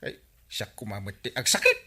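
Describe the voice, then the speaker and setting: An older village schemer with an Ilocano accent, always after money. His bright, forceful voice swings wildly up and down as he wheedles and exclaims, in the broad style of a radio comedy drama. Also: on the low side, huge pitch swings, normal speed, breathy edge, full character voice. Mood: sly, excited.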